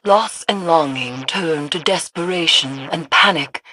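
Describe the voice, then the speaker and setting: An actress playing a solemn, cryptic mystic in a video game, speaking in a grave, measured and ominous way. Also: female voice, sits low, sharp tone, full character voice. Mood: grave, instructive. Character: solemn, cryptic mystic woman guiding through nightmares. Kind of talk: scripted video game dialogue.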